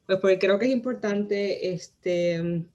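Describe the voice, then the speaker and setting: A woman from Rico, giving a lecture as a guest curator, often pausing with fillers and restarting her sentences. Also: medium pitch, dark tone, muffled recording. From Puerto Rico. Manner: hesitant, many fillers and restarts. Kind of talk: lecture presentation.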